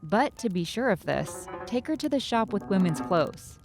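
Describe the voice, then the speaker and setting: A woman as a shrewd serpent mother, medium pitch, insistent, motherly, scheming, cartoon fairy tale, music clearly behind. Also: sing-song, moderate pace. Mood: suspicious. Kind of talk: children's fairy tale dramatization.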